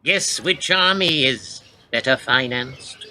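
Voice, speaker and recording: An actor playing a dismissive, sarcastic military leader who talks casually. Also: male voice, mid-range voice, lively intonation, takes it slowly, sharp tone, slight background noise, full character voice. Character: cocky sardonic military leader, male.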